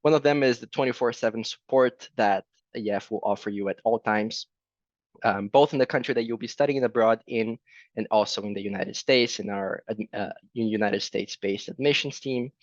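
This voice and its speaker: A man's voice: fairly low pitch, dry recording, muffled recording. A man from Brazil with a Brazilian Portuguese accent, presenting a language program in a friendly way at a virtual fair and often adding "right?". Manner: friendly, fills pauses with "right?". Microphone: video call.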